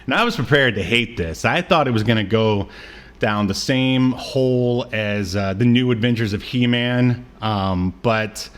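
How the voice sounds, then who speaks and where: A man's voice: medium pitch, a little slow. A man hosting a podcast, chatting casually about old media with a joking, teasing manner. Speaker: podcast host.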